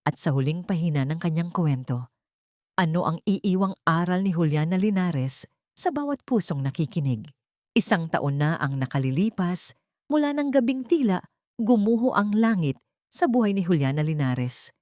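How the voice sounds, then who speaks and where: A woman narrating a dramatic audiobook story in a steady storytelling manner. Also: on the low side, moderate pace, dry recording, studio quality.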